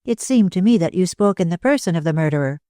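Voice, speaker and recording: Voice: medium pitch, animated, quick pace, warm tone, no echo, studio quality, lightly acted. A reader voicing an anxious young woman who presses with urgent, faltering questions, in an audiobook.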